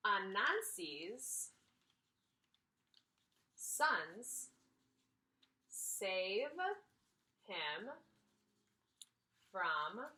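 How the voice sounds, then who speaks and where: A woman in her thirties speaks as a kindergarten teacher to small children. Her voice is bright and very lively in pitch, at a slow, deliberate pace. She asks questions and leaves room for answers, stressing key words. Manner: animated, asks questions and pauses for answers, slow emphatic phrasing. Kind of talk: read-aloud lesson addressed to young children. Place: small indoor room with brick wall.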